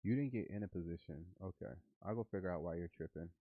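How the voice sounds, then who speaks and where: Nasal low-voiced man getting over flu. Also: fast, no room sound, narrow, tinny audio. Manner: muttering to himself, talking to his bots. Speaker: livestream host coding trading bots. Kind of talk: casual live coding stream.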